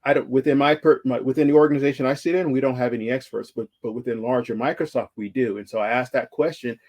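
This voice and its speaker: A man's voice: mid-range voice, steady tone, fast talker, a little mellow. A man giving a conversational virtual conference talk over slides, with frequent fillers and restarts. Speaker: conference speaker.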